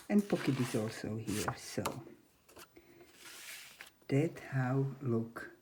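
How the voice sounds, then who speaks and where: A woman in her seventies hosting a scrapbook craft tutorial, speaking halting non-native English in a chatty, unhurried way. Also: very deep voice, warm tone, a little hiss or hum, rough recording. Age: 70s. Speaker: crafting channel host.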